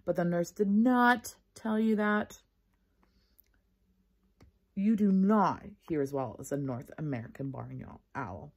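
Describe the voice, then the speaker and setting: A woman voicing a stern, weary male first-grade teacher who scolds firmly and deliberately in a children's storybook. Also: fairly low pitch, husky, full character voice.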